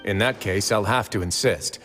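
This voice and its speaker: A man voicing a confident, easygoing prison warden in a video game, speaking in a relaxed, persuasive, assured way. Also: on the low side, normal speed, in a large hall, faint music behind, close to an everyday voice.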